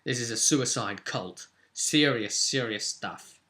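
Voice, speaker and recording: A man's voice: mid-range voice, slow, pushed and bright. A man speaking as a game master, casually recapping and explaining a tabletop roleplay session in a conversational way.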